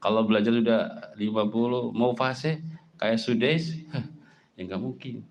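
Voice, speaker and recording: A middle-aged Javanese man giving a lively sermon, with a Javanese accent, often jumping up in pitch for emphasis and asking rhetorical questions. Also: unhurried, dull audio. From Java, Indonesia. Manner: animated, swings pitch up for emphasis, rhetorical questions, mimics speech. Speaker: Islamic preacher.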